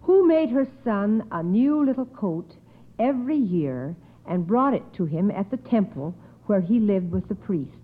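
A woman's voice: mid-range voice, lively intonation, unhurried, phone-quality sound. A woman hosting a 1950s radio quiz for children, encouraging and acknowledging each answer, on an old radio recording. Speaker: quiz show host.

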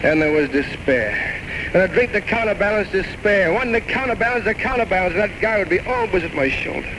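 Tormented, self-loathing failed writer in his thirties; highish, very animated, theatrical monologues, noisy vintage radio. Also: fairly quick. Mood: bitter, anguished. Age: 30s. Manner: rambling, theatrical, bitterly self-mocking monologues. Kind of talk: 1940s-1950s radio drama acting. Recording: noisy old radio broadcast recording.

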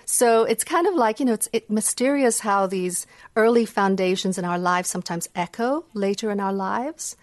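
A middle-aged woman who grew up with Indonesian and Dutch talks as an interview guest. She is gracious and reflective, with a mid-range pitch and a dry sound free of room echo. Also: moderate pace, few pauses. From Indonesia and Hong Kong. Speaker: guest, music psychology researcher. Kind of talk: conversational podcast interview. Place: remote video call from home. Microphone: video call.

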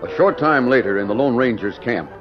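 A man's voice: mid-range voice, animated, brisk. A man narrating a western radio drama in a scripted 1940s announcer style. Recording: muffled old radio recording, music behind. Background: loud background music.

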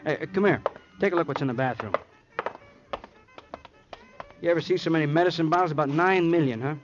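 An actor playing a down-to-earth police detective in a vintage radio crime drama, talking in a casual, wry, musing way. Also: male voice, a little high, a little fast, soft background music, lightly acted. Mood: wry, chatty. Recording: dull old radio recording.

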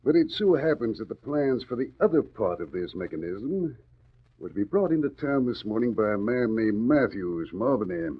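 An actor playing a blunt, stern older police inspector in a 1940s radio drama. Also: male voice, mid-range voice, very animated, moderate pace, husky. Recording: muffled vintage radio recording.

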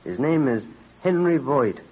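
Casting: an elderly, humble craftsman, husky mid-pitched voice, courteous and hesitant, muffled vintage radio recording. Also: lively intonation, a little slow. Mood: helpful, deferential. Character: modest, deferential elderly clockmaker, reluctant but dutiful. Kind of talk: 1930s-40s radio drama acting.

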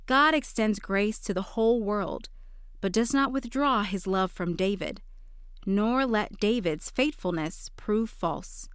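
A woman's voice: mid-range voice, a little slow, smooth, no echo, studio quality. A woman reading a scripted daily devotional for a podcast.

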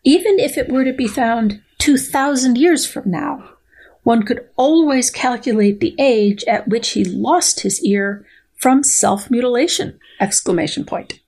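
A middle-aged woman, a guest scientist, reads a research paper aloud and explains it in conversation with a precise, playful, deliberate manner. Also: fairly high pitch, darkish tone. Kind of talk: dramatic reading and explanatory conversation.

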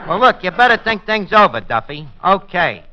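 An actor playing a wisecracking working-class tavern manager with a New York accent, slangy and ungrammatical, in 1940s radio comedy style. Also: male voice, high-pitched, sing-song, moderate pace, over loud music. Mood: grumbling. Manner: slangy, ungrammatical, hesitant phone patter. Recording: dull, lo-fi vintage radio recording.

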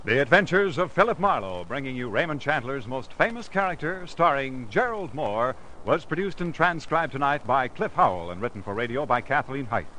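A man's voice: mid-range voice, pushed and bright, a little hiss or hum, rough recording. A man with an American accent reading credits and promos as a polished old-time radio announcer. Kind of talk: 1950s radio announcing, scripted.

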